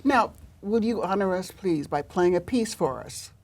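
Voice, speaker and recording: An older woman hosting a TV interview, gracious and effusive, with frequent fillers and pauses. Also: on the low side, lively intonation, a little mellow. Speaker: talk show host. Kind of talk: studio interview.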